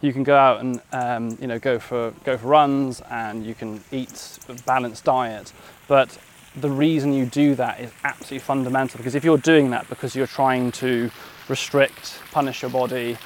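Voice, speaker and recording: A man's voice: mid-range voice, fairly even intonation, moderate pace, a little hiss or hum. A man, hosting a casual walking interview outdoors in a park, reflective and fond of tag questions. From West Wales. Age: 30s. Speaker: podcast host.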